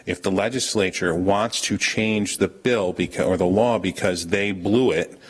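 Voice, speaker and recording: A man's voice: low voice, measured pace, husky. A man speaking as a town official at a public board meeting, explaining his position firmly with frequent restarts, picked up by a room camera across the meeting room. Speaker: town official presenting an ordinance.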